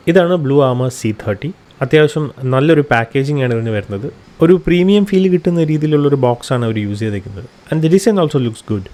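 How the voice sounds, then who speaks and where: Fast-talking Malayali man presenting a review. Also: medium pitch, darkish tone. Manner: mixes English phrases into speech. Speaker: vlogger reviewing motorcycle gear. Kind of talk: scripted product review narration.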